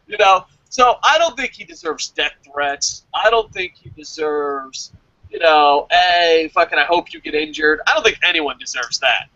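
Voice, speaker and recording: A man's voice: high voice, bright, forceful tone, lo-fi sound. A man chatting casually as a review show host, opinionated and emphatic, swearing freely and rambling as he goes.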